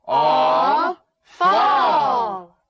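A storybook reader with a hugely animated, swooping delivery and very slow pace. The performance is theatrical and exaggerated, on a dull 1980s cassette with loud noise. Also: mid-range voice. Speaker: children's book reader. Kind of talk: slow read-along storybook narration.

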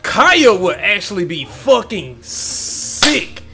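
Excitable young man, high lively voice. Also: slow pace, husky. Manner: excitable, swears a lot, talks to viewers. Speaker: gaming YouTuber. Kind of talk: casual gameplay reaction commentary. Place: home room with gaming chair.

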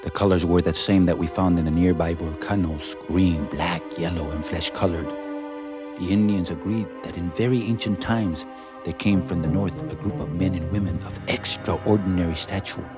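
A man reading the account of an 18th-century Jesuit missionary in measured, solemn 1970s documentary style. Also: low-pitched, steady tone, lightly acted. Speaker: voice actor reading a historical account. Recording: voice over loud background music.

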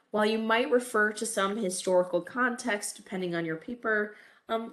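A woman's voice: on the low side, fairly bright tone. A woman in her thirties giving a recorded college English lecture over webcam, stuffy from a cold, friendly and full of "um" fillers. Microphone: webcam over slides.

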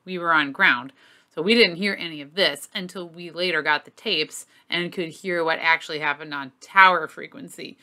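Middle-aged female aviation instructor: forceful bright delivery, slightly low pitch, candid explainer style. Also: normal speed. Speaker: flight instructor hosting an aviation lesson. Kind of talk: informal explanatory lesson to camera. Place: small home office, indoors.

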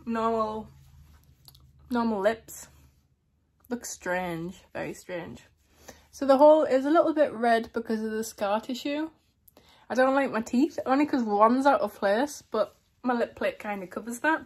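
A woman's voice: fairly high pitch, a little slow, long pauses. A young woman with a slight lisp, chatting casually to camera in a small room, giggling now and then. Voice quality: slight lisp from a lip plate. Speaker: vlogger.

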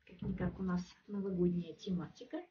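A woman's voice: lowish voice, fairly even intonation, a little fast, dark tone, slight background noise. A woman walking through a home recipe step by step in a chatty, homely way, recorded at a kitchen table. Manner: homely and chatty, with frequent little fillers. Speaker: home cook. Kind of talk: step-by-step cooking demonstration. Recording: distant, muffled, faint music behind.

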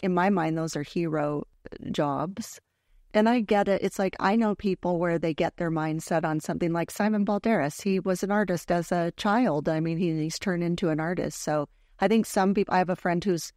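A woman's voice: on the low side, normal speed, darkish tone, dry recording. A middle-aged woman hosting a podcast in a studio, chatting casually, affirming her guest and steering with questions. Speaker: podcast host. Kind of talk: casual interview chat. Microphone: headphones and studio microphone.

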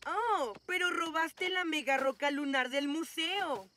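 A woman's voice: high voice, unhurried, a little hiss or hum. A woman playing a scheming boy mad-scientist villain in a Latin American Spanish cartoon dub, with theatrical, gloating delivery. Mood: sly. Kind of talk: animated cartoon dubbing. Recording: loud music behind. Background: loud cartoon score.

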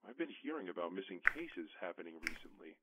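A man's voice: sits low, sing-song, quick pace, pushed and bright, no echo, exaggerated voice, low-quality recording. A man voicing a worried caller leaving a recorded phone message to his partner. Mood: uneasy.

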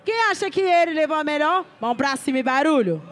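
A man hosting a rap battle, calling out loud announcements on a handheld mic at an outdoor stage. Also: extremely high pitch, expressive, quick pace. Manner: loud hype chants and announcements. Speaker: battle host. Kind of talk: hyping a crowd. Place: outdoor stage under a city viaduct. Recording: live stage sound with big crowd noise.

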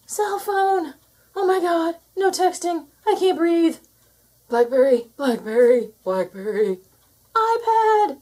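A woman acting out exasperated, panicking members of a household in shrill exclamations, for a children's storybook. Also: big pitch swings, takes it slowly, warm tone, exaggerated voice. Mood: frantic. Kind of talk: children's storybook read-aloud.

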